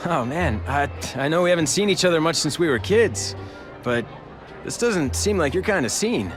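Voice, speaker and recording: A man's voice: on the high side, lively intonation, normal speed, faint music behind, a faint crowd, natural voice. An actor playing an affable, worldly young businessman in a video game, speaking in a casual, conversational way. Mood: friendly surprise. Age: young adult. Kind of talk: video game cutscene acting.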